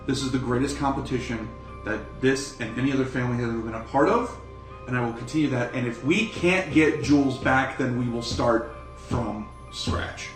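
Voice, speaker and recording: A man's voice: medium pitch, moderate pace. A man improvising narration as a tabletop game master, speaking casually and swearing freely. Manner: casual, profane. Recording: roomy, distant mics, music underneath.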